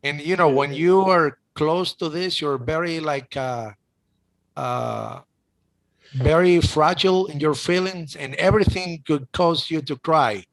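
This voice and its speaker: A man's voice: fairly high pitch, a little slow, poor audio. A middle-aged man with a Cuban accent hosting a casual chat, talking emotionally with many restarts. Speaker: host.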